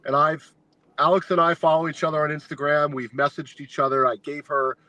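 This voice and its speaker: A man as a podcast guest, telling a long fan story in a casual, animated, rambling way with lots of filler words. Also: on the high side, a little fast, no echo, rough recording. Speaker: guest, fan sign maker.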